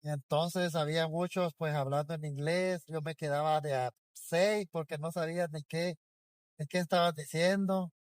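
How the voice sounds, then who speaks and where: A man in his forties from El Salvador with a Salvadoran accent and a fairly high voice tells a personal story. His delivery is loose and conversational, and he restarts his sentences often. The recording is dry and close. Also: fairly even intonation, moderate pace, dry recording. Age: 40s.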